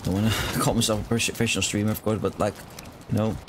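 A man's voice: fairly low pitch, level tone, quick pace. A man in his thirties, a streamer hosting a casual chat while gaming, talking into a headset, chatty and laughing easily. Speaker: streamer, host. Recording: noisy game stream audio.

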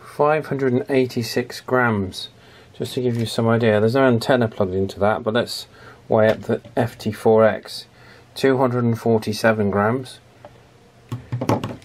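A British man with a mid-pitched voice talks through a hands-on review as a video presenter. He muses aloud in an unscripted, chatty way, hesitating as he goes and adding dry, understated asides. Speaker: YouTube radio reviewer. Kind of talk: casual unscripted product review, thinking aloud.

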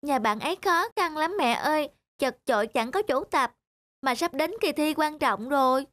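A woman's voice: sits high, expressive, moderate pace, a bit bright, no room sound. A woman voicing a kind little girl who studies ballet, sweet and eager. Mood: pleading. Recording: music playing underneath.

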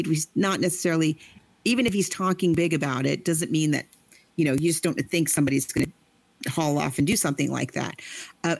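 A woman's voice: on the low side, a little mellow, slightly rough, no room sound. A woman co-hosting a podcast, chatting casually about a book and acting out quoted lines in playful voices. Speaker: podcast co-host.

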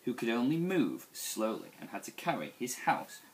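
A young man reading a picture book aloud to children in an expressive, deliberate storytelling style. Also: mid-range voice, a little hiss or hum, rough recording. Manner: animated, deliberate storytelling delivery. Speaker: school staff member reading to young children.

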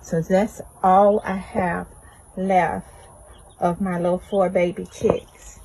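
Middle-aged woman, unhurried casual commentary, outdoor phone audio with birds and noise. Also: on the low side, flat delivery, creaky. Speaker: backyard chicken owner. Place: outdoors in a fenced backyard. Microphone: handheld phone behind the camera. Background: chickens and chirping birds.

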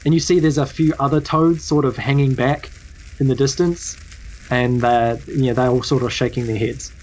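A woman's voice: a bass voice, fairly even intonation, moderate pace, a little mellow, low-quality recording. A woman with a New Zealand accent improvising casual narration as game master, often searching for her words.

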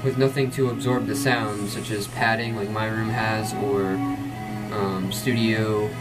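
A man in his twenties with a low voice, explaining drum tuning in a rambling, casual way with many ums, recorded in a small padded bedroom on a camera mic. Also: level tone, a little slow, loud music behind the voice. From Florida, USA. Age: 20s. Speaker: drum instructor. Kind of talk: casual tutorial. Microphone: camera mic from a few feet away.

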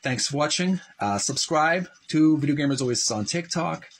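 A middle-aged man with a slightly rough, husky voice, hosting a video channel in a deliberate, emphatic way as he reads aloud and talks to viewers. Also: a little high, animated, slight background noise. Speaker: host of a video channel reading a book aloud. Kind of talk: reading aloud and chatting to viewers.

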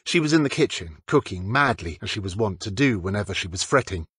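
A man reading fiction as a scripted audiobook narrator, with a husky voice. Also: lowish voice, animated, fairly quick, a bit bright, no room sound.

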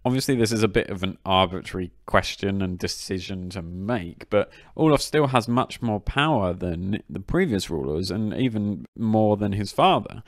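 A man co-hosts a casual history podcast, chatting in an upbeat way. His voice sits a little low. The recording is dry and close, with no room sound. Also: lively intonation, moderate pace, few pauses, dry recording. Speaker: podcast co-host.

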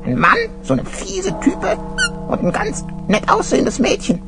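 Quietschhohen kleinen Zeichentrickvogel. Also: female voice, high-pitched, unhurried, loud music behind the voice, heavily acted. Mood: excited, alert. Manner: excited, peppers speech with chirps. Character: small eager cartoon bird, a chirping lookout. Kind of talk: children's audio play acting.

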